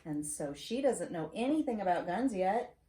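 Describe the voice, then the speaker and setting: A middle-aged American woman reading a storybook aloud to a child, with casual asides and questions, in an expressive, teacherly manner. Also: mid-range voice, normal speed. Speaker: host reading to her granddaughter. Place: home library, seated at a desk. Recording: roomy echo, clear background noise.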